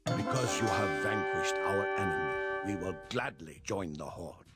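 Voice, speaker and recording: A warlike Mongol tribesman in a game, theatrical and martial. His mid-pitched voice moves around a lot, over loud music. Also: animated, normal speed.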